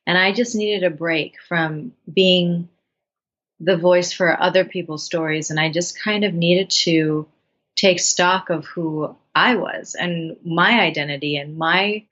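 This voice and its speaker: A woman's voice: lowish voice, slow, a bit bright. A woman in her thirties from Bangladesh, a writer and actress guest talking thoughtfully and earnestly in an interview. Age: 30s. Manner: thoughtful, earnest, with frequent restarts. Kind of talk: reflective interview talk. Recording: dull lo-fi sound.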